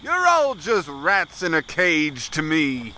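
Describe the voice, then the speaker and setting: A man's voice: on the high side, huge pitch swings, bright, forceful tone, slight background noise, exaggerated voice. An actor playing a cruel, menacing racist predator in an audio drama, drawling and mocking as he threatens. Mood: hostile, mocking. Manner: taunting, drawling, slow menace. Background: crickets and night insects.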